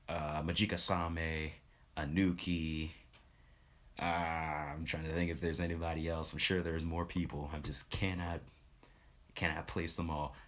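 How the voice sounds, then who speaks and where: A man talking casually as a live streamer, giving shout-outs in a halting, rambling, earnest way. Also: a bass voice.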